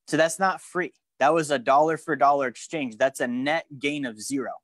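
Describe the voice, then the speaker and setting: A man's voice: fairly high pitch, moderate pace, no echo, clean studio sound. Young man teaching a money class. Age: young adult. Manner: animated, gestures at a screen while teaching; frequent restarts and questions to the room. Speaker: financial course instructor. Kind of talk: live course lecture. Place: studio with a branded backdrop and a large screen.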